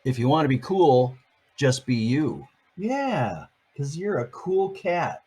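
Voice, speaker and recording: A man's voice: mid-range voice, very animated, takes it slowly, darkish tone, slightly rough. An older man reading a picture book aloud to his grandchildren, adding chatty, playful asides between pages.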